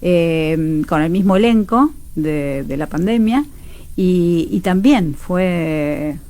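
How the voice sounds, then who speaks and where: A woman's voice: fairly low pitch, expressive, slow, dark tone. A woman with an Argentine Rioplatense accent, speaking conversationally as a radio drama actress and teacher being interviewed.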